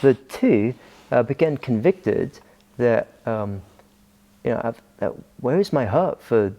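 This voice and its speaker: A Scottish pastor in middle age, talking as an interview guest. His voice is dark and gravelly, and he often hesitates and restarts his sentences. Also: medium pitch, animated, slow. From Scotland. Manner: hesitant, many ums and restarts. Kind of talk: casual interview talk.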